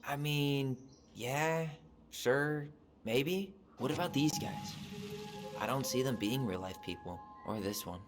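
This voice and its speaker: A man playing an earnest, gently teasing young adult in a video game, with a casual, dry delivery. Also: medium pitch, slow, music in the background, natural voice. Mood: skeptical, playful. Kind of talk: game cutscene voice acting. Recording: faint background noise.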